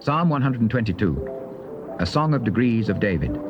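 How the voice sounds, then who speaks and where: Formal announcer, animated intonation, scripted titles, muffled old cassette, prominent music behind. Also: male voice, on the low side, moderate pace. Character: formal announcer of sacred psalms. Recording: dull old cassette tape.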